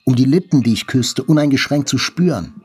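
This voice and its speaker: A man's voice: mid-range voice, a little fast, darkish tone, breathy edge. An actor playing a wounded journalist, narrating his hospital stay soberly and introspectively in an audio drama. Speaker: first-person narrator. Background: faint music.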